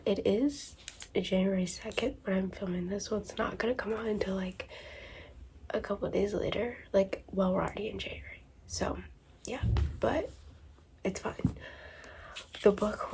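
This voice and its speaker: A sick, congested woman chatting casually in a book vlog, rambling and thinking aloud as she goes. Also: medium pitch. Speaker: book vlogger. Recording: steady background noise.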